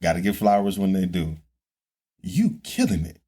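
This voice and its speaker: Man, podcast co-host, low voice, very lively pitch, joking banter. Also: moderate pace. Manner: animated, joking, hyped-up banter. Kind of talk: casual chat.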